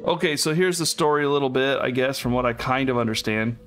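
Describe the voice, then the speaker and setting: A man's voice: medium pitch, quick pace, a bit bright. A man casually commenting on his co-op game as he plays, musing aloud and laughing often. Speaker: let's play host. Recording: game music behind voice.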